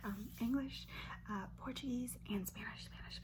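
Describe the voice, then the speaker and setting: A woman in her twenties from Brazil, whispering an ASMR question-and-answer chat close to the mic and softly repeating words over and over as triggers. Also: a little high, normal speed. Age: 20s. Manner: chatty, filler-heavy, with light laughs. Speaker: ASMR creator. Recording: noisy hum under voice.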